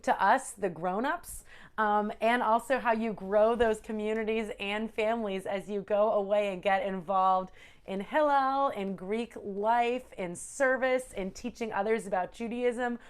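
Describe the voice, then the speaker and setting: A woman's voice: mid-range voice, unhurried, clean tone. A woman speaking earnestly to graduates, listing ways they can stay connected. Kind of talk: address to graduates.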